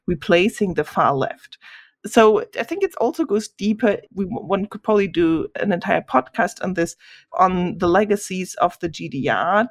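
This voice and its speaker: Measured woman analyst, dry close sound. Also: fairly low pitch, dry recording. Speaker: guest expert. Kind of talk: podcast interview, analytical explanation.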